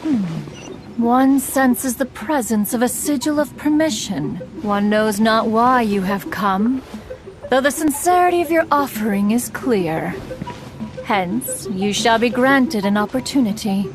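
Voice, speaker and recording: A woman's voice: fairly high pitch, music in the background, lightly acted. A woman playing a proud, aloof, ancient immortal hermit in a video game, speaking in formal, archaic phrases. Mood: regal, composed. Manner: haughty, formal, archaic, measured. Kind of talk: theatrical video game voice acting.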